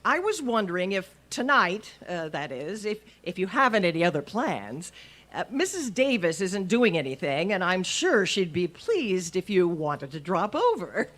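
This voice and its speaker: An actress playing a wry, wisecracking but easily flustered schoolteacher in an old-time radio comedy. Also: female voice, on the low side, very animated, moderate pace, fairly bright tone. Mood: awkward, polite. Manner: dry, quipping, stammers when flustered.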